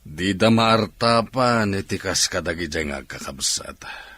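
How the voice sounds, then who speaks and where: Man acting a low, animated, earnest factory man. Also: measured pace, exaggerated voice. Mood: earnest, insistent. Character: appreciative factory man, firmly defending his hardworking employees. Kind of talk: Ilocano radio drama acting.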